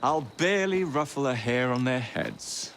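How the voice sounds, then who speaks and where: A man's voice: mid-range voice, lively intonation, moderate pace, a bit bright, slight background noise. An actor playing a cocky, roguish young Victorian man in a video game, with an English accent and a wry, cheeky, polite manner. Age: young adult. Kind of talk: video game cutscene acting.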